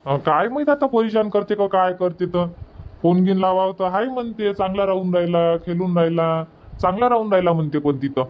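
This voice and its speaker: A man's voice: high-pitched, brisk, lo-fi sound, lightly acted. Easygoing old Varhadi village grandfather, acted by a man. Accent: Varhadi Marathi, Vidarbha. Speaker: cartoon character voice. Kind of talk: homely cartoon comedy dialogue.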